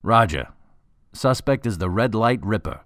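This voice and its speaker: Blunt, lower-voiced cop. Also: male voice, lively intonation, a little slow, fairly bright tone, dry recording, clean studio sound. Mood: businesslike. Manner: casual, blunt, wry. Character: gruff, practical male police officer, wearily doubtful. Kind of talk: radio drama acting.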